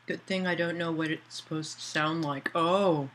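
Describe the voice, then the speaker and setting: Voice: medium pitch, fairly even intonation, normal speed, fairly bright tone. An author livestreaming to patrons, chatting loosely and answering chat messages aloud, with many fillers and restarts.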